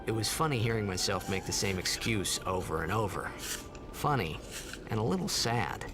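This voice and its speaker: An actor playing a naive, earnest young man in a video game, boyish and expressive. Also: fairly low pitch, normal speed, background noise. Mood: reflective. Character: naive, earnest, cocky young man, displaced from his own world. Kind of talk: video game voice acting with reflective narration. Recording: loud game music behind.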